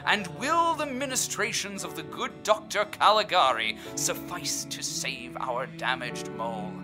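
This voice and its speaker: A man's voice: a little high, lively intonation, a little slow, over music. A man playing a pompous, preachy corporate propaganda announcer narrating an audio drama serial. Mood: grandiose. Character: bombastic corporate propaganda announcer, pious and grandiose.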